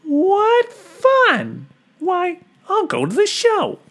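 A lazy, vain mother bird from a storybook squeals in a shrill, soaring falsetto, as a man puts her on. She is bossy and full of herself, and her voice leaps all over the place. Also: huge pitch swings, extremely slow, heavily acted. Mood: delighted.

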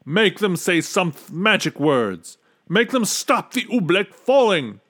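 A man's voice: sits high, very animated, slow, a bit bright, voice pushed up, heavily acted. A man voicing a proud, blustering but helpless old storybook king, with a pompous, groaning and bellowing delivery. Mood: commanding, panicked. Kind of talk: storybook character voice.

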